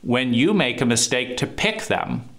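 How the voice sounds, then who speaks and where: A man with a deep voice giving a reflective monologue, talking slowly and deliberately with frequent pauses and fillers. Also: big pitch swings, a bit bright. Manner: slow, deliberate, pausing often with fillers. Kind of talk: reflective monologue on trauma and psychology.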